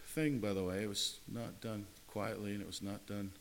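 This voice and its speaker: A man's voice: fairly low pitch, steady tone, normal speed, a little hiss or hum. A man preaching a sermon in an earnest way, often repeating and restarting his words. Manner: earnest, repetitive phrasing with frequent restarts.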